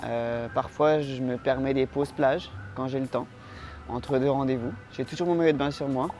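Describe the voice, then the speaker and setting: A man from France answering interview questions in a calm, hesitant way, with frequent filler sounds. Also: medium pitch, normal speed. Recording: music playing underneath.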